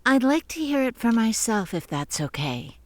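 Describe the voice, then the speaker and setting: A woman acting a calm, clinical psychiatrist of sixty in an audio drama, measured and probing. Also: fairly high pitch. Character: sixty-year-old female psychiatrist, impassive, measured, probing.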